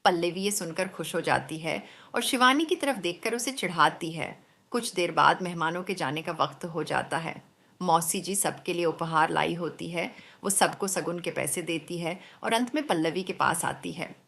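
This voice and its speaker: A woman's voice: on the low side, animated, moderate pace, vocal fry, a bit bright. A woman narrating a scripted story as the storyteller.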